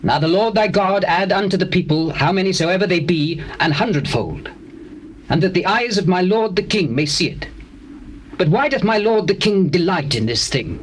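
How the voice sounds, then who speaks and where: High-voiced, pleading army captain. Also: male voice, expressive, normal speed. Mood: earnest, questioning. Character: earnest, deferential army captain counselling his king. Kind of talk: dramatized King James scripture reading. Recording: faintly noisy old cassette tape dramatization.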